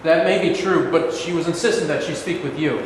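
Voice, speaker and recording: A man's voice: on the high side, a little fast, natural voice. A man in a staged reading playing an urgent, dutiful aide to a president. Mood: urgent, formal. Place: large room at a live staged reading. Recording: echoey hall, far off, noisy.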